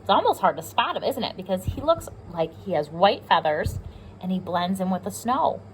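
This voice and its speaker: A woman's voice: medium pitch, very animated, normal speed, slight background noise, poor audio. A woman with an American accent reading a picture book aloud to young children, explaining simply and asking them questions as a pre-K teacher.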